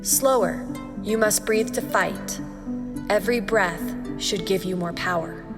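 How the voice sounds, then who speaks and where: An actress playing a cold, stern teenage older sister giving controlled, commanding instruction in a fantasy audio drama. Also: female voice, medium pitch, a little slow, big-room sound, music in the background, close to an everyday voice.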